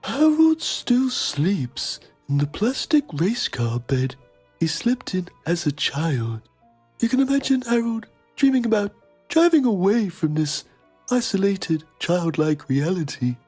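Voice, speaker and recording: Man acting a husky, pompous documentary journalist. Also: high-pitched, a little slow, soft background music, heavily acted. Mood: solemn, pompous. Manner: pompous, deadpan. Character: self-important male TV documentary journalist, solemn and pompous. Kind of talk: mock documentary narration.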